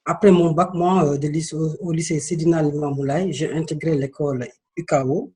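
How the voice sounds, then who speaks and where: Male guest from Senegal, 30s, earnest and hesitant, slightly high voice. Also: steady tone, a little fast, darkish tone. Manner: earnest, storytelling, with hesitations and repeats. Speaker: interview guest. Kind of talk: interview.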